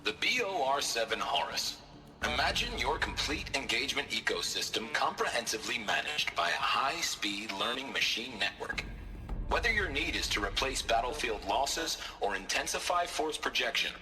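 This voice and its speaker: A man playing a slick, confident military hardware announcer in a video game, reading a punchy scripted sales pitch. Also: bright, forceful tone, rough recording. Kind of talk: scripted promotional narration.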